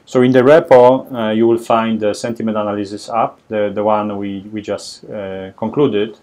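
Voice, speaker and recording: Halting Polish-accented man giving a demo. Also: lowish voice, level tone, darkish tone. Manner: halting, many fillers and restarts. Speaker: workshop presenter. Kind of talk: live coding demo lecture.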